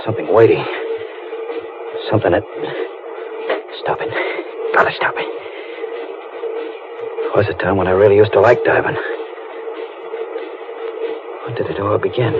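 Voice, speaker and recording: Haunted, fearful deep-sea diver male actor, radio melodrama, low-pitched, halting, on a dull noisy vintage recording. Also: close to an everyday voice. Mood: haunted dread. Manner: brooding, halting, melodramatic delivery. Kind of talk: old-time radio drama acting.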